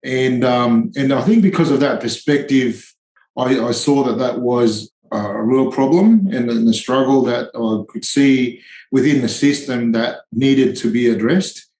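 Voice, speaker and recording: A man's voice: medium pitch, a little slow, a little mellow, roomy sound, low-quality recording. A man in his forties from Tonga, a tradie and charity founder, speaking hesitantly in a podcast interview with many ums and restarts.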